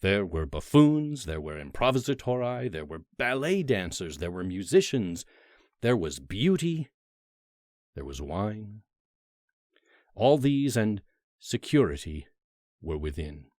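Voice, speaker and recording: A man narrating a classic horror story as a dramatic literary audiobook, with theatrical, deliberate phrasing and emphatic pauses. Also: lowish voice, big pitch swings, darkish tone, no echo, clean studio sound.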